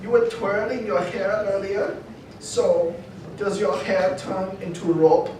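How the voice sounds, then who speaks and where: A woman's voice: mid-range voice, takes it slowly, darkish tone, close to an everyday voice. A woman playing a patient but exasperated teacher of young superhero children in a comedic script read live. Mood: weary, exasperated. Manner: coaxing, wearily exasperated. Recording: echoey panel room, distant mic, faint noise.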